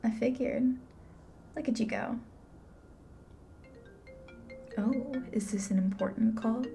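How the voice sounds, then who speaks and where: A woman's voice: fairly high pitch, moderate pace, vocal fry, mellow, soft background music. A woman playing a blunt, flippant grim reaper in an ASMR roleplay, with an offhand, dry manner. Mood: dry, softening. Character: blunt, flippant female grim reaper, unsentimental but curious.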